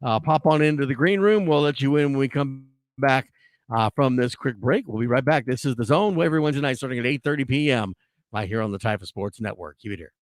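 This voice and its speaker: A hoarse man hosting a sports talk show, ranting in a casual, animated way with frequent restarts. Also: mid-range voice, quick pace.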